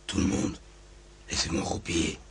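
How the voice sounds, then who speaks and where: An actor voicing a crude, weary French-speaking ghost in a horror radio drama, in a whispery, raspy croak. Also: moderate pace, close to an everyday voice. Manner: crude, taunting. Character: foul-mouthed, weary French-speaking ghost speaking through a child.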